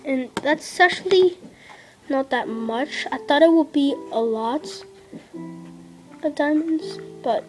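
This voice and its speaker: High-voiced young girl rambling over gameplay. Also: very slow, long pauses, soft background music, poor audio. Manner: rambling, lots of "like" fillers, drops to half-whispers. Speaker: gameplay vlogger. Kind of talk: casual gameplay commentary. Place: indoors at a table. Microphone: device camera filming a handheld screen.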